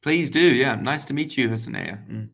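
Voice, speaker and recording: A man's voice: mid-range voice, moderate pace, fairly bright tone. A man, a guest speaker chatting to students over a video call, friendly and full of hesitant fillers and restarts. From England. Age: 20s. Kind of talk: casual explanatory talk to students.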